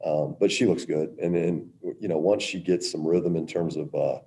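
A middle-aged man, a women's basketball coach, answering press questions in a halting way with many restarts. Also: low-pitched, steady tone, vocal fry, dark tone.

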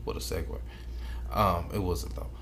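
A man's voice: low voice, fairly even intonation, moderate pace, a bit bright, slight background noise. An American man co-hosting a podcast, talking casually with slang and swearing. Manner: informal, slangy, profane. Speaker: podcast co-host. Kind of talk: casual chat.

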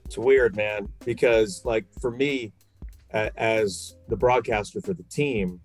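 A man's voice: lowish voice, steady tone, slow pace, faint music behind. A man who works as a sports broadcaster, chatting casually in a blunt, colloquial way. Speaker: sports broadcaster, podcast guest. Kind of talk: casual chat.

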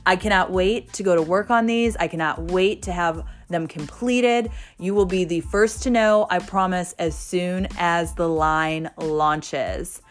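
A woman with a cold, her voice raspy and her nose stuffed, hosting a solo podcast and pausing for breath as she talks. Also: fairly low pitch, soft background music. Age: 20s. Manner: pauses to catch breath. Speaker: podcast host.

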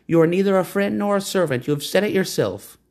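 A man reading a storybook, voicing a wise, proud cave woman in a high, lilting voice with a measured, declaring manner. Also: expressive, darkish tone. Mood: lofty.

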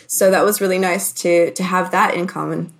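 A woman born in Hong Kong, a communication coach, talking casually as a guest and explaining her ideas with thoughtful pauses. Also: lowish voice, steady tone, vocal fry. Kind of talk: casual interview.

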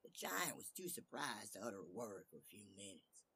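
A man tells a fairy tale as its narrator. His voice is fairly low, with a husky grain to it. The delivery is expressive, scripted storytelling. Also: moderate pace.

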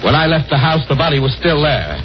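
A man's voice: fairly high pitch, lively intonation, quick pace, background noise, natural voice. A man playing a cool, persuasive murder suspect in an old-time radio thriller, speaking with emphatic urgency. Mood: cool, insinuating. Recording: muffled old radio broadcast, music behind.

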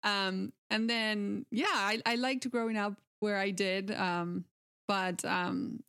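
A woman from Argentina, a translator guest, chatting in a relaxed interview. Her voice is smooth and clear at a middle pitch. She laughs easily and fills pauses with "um.". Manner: relaxed, laughs often, many fillers.

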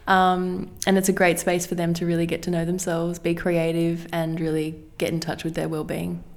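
A woman's voice: lowish voice, monotone, moderate pace. An Australian woman speaking informally as a youth group coordinator, giving an announcement.

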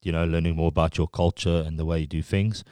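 Middle-aged South African guest, Afrikaans accent, resonant low voice, stop-start delivery. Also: male voice, little pitch movement, mellow, clean tone, no room sound, studio quality. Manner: halting, full of restarts and fillers. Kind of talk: casual interview chat.

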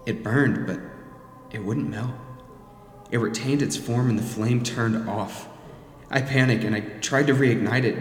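A man's voice: lowish voice, natural voice. A man acting as a troubled narrator possessed by a cursed mask in a dramatic, confessional horror audio drama. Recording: echoey hall reverb, music underneath. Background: music.

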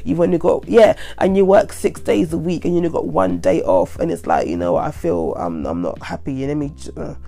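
Gravelly podcaster with a head cold. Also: medium pitch, very animated, a little fast, hardly any pauses, mellow. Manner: rambling, repeats "like I said". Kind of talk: casual solo monologue.

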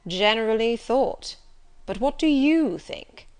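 A woman voicing a gruff, brusque, middle-aged master of the house in an audiobook, curt and commanding. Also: high voice, normal speed, fairly bright tone. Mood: gruff, challenging.

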